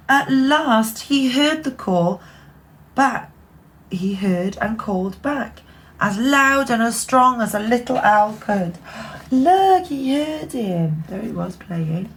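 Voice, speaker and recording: A woman reading a children's picture book aloud expressively, dropping into hushed asides. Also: medium pitch. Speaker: bedtime story reader.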